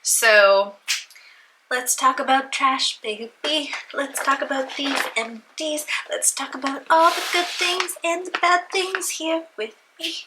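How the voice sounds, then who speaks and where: A woman's voice: high-pitched, slow pace, bright, forceful tone, a little hiss or hum, low-quality recording. A young woman vlogging about beauty products in a casual, rambling, self-deprecating way, sounding stuffy and congested. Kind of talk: casual chat to camera.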